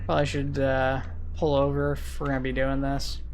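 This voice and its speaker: Streamer chatting through gameplay, thinking out loud, mid-pitched voice, some siren and vehicle noise behind. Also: completely flat delivery, moderate pace. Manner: rambling, thinking aloud. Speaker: video game streamer and host. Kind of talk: casual gameplay commentary. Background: game sirens and vehicle sounds.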